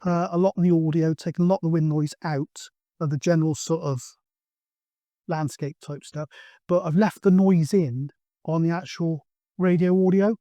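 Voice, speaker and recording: Male hobby-video host, middle-aged. Slightly high voice, close dry sound, informal and anecdotal. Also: steady tone, normal speed, warm tone, dry recording. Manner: chatty, anecdotal, colloquial. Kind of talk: casual rambling vlog introduction.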